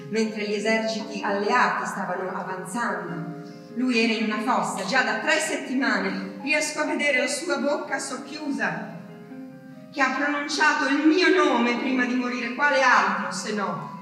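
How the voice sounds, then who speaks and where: An actress playing an anguished wife waiting for her deported husband in wartime, in an intense, halting stage monologue full of grief. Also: a little high, natural voice. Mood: desolate certainty. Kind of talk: dramatic theatrical monologue, wartime diary. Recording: echoey hall, distant mic, music behind.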